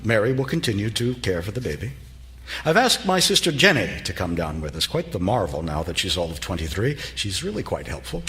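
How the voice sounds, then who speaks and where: Patronizing, swooping Victorian husband. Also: male voice, fairly low pitch, big pitch swings, fairly bright tone. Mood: brisk, firm. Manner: patronizing, brisk, authoritative. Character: condescending, controlling Victorian physician husband. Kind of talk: live radio theater acting.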